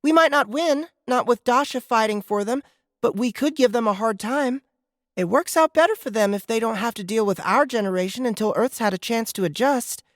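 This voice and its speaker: A woman performs a bright little girl of eight for a science fiction audiobook. The voice is on the high side and earnest, with a shy, easily wounded streak, recorded very dry. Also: moderate pace, no room sound, close to an everyday voice. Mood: earnest, insistent.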